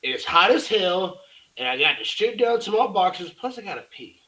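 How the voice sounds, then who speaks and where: A man's voice: high voice, very animated, moderate pace, pushed and bright, exaggerated voice. A man playing a crude, whiny young sidekick who grumbles in slang in a screenplay table read. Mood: grumbling. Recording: distant from the microphone.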